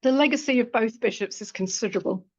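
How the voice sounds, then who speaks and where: A woman historian reading out a prepared seminar paper in a measured way, with dry asides. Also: on the high side, dull audio. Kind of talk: academic lecture read from a prepared paper. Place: lecture room at a college.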